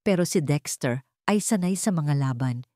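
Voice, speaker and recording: Female narrator for dramatic romance fiction, low register, dry studio sound. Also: expressive, normal speed, warm tone, no echo.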